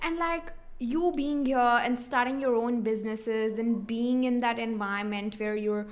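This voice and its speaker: A woman's voice: on the high side, steady tone, measured pace. A woman hosting a podcast, asking casual, chatty questions full of "like". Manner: chatty, hedging with likes. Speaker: podcast host.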